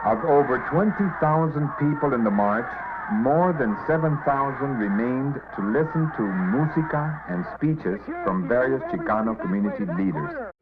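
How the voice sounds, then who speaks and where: A man reading archival news narration in a formal, measured way. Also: medium pitch, expressive. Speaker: newsreel narrator. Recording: tinny noisy archive broadcast.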